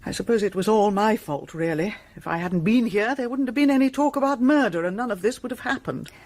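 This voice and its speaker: A woman's voice: mid-range voice, fairly quick, darkish tone, natural voice. An actress playing a rueful, troubled middle-aged woman in a radio drama.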